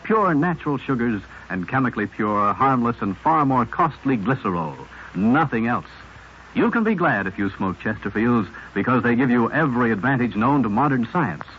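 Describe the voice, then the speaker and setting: A man's voice: mid-range voice, animated, moderate pace. A man announcing a scripted 1950s radio commercial with an emphatic, polished salesman's delivery. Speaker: advertising announcer. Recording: muffled old radio recording, faint noise.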